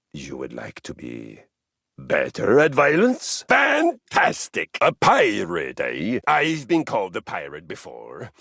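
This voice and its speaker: An actor playing a boastful, honor-obsessed male exercise machine who talks like a Norse warrior, with a Norwegian accent and a gravelly, husky, grandiose delivery. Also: a bit bright, no room sound, clean studio sound, full character voice. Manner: pompous, theatrical swings in pitch. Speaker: dating game character. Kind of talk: video game voice acting.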